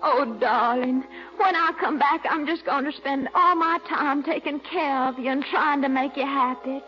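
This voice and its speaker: An actress playing a coy, gushing, flirtatious sweetheart in melodramatic 1940s radio comedy style. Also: female voice, sits high, moderate pace, lightly acted. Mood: tender, melodramatic. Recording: dull old radio recording, music behind.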